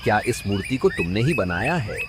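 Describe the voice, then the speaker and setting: A man's voice: on the low side, sing-song, brisk, background noise. A man voicing a haughty young prince in a cartoon story, with a formal, imperious manner. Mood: commanding.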